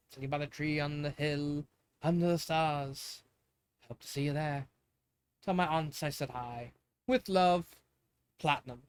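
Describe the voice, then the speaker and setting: A man's voice: on the high side, a bit bright, clean tone. A man voicing a polite, curious six-year-old underworld prince in a fanfiction reading, friendly, earnest and a little tentative.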